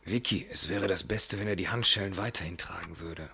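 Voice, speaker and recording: An actor playing a calm, clinical male hypnotherapist with a formal, deliberate manner in a radio drama. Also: low-pitched, creaky, slightly rough, full character voice. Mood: composed. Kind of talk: horror radio play acting.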